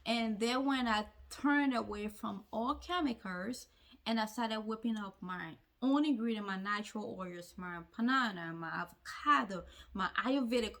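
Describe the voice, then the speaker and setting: A woman with a fairly high voice speaks as a hair-care vlogger. She tells her own story earnestly, stressing points and repeating phrases for emphasis. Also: normal speed, fairly bright tone. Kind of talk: personal story told to camera.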